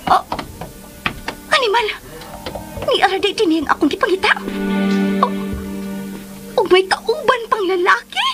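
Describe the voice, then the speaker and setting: A woman's voice: very high-pitched, animated, a little slow, pauses often. A woman acting in a radio drama as a scheming, gleeful spy who mutters and exclaims to herself in a melodramatic way. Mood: agitated, spying. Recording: studio radio drama over music.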